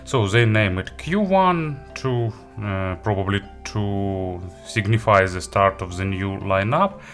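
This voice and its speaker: A man giving a casual gadget review and unboxing, halting and hesitant as he thinks aloud. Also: lowish voice, a bit bright, faint music behind. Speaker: audio gear reviewer.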